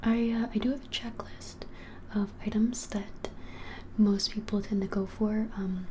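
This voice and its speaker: A woman in her twenties whispers through an ASMR roleplay. Her voice is breathy and soft-edged, with a mellow, dark tone. She talks in a gentle, conversational, halting way over a faint background hiss. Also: medium pitch, steady tone. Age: 20s. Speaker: ASMR creator playing a witch shop keeper. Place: small plain room indoors.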